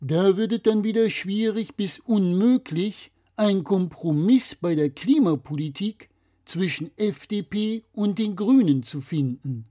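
Man with a higher-pitched voice, scripted explainer. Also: lively intonation, a little slow, dark tone. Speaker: presenter.

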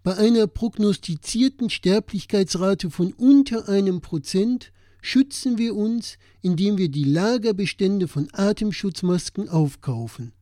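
A man's voice: high-pitched, lively intonation, a little slow, hardly any pauses, mellow, no echo, clean studio sound. A man acting as a concerned citizen in a scripted satirical dialogue about current affairs. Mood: baffled. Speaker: audio play dialogue speaker.

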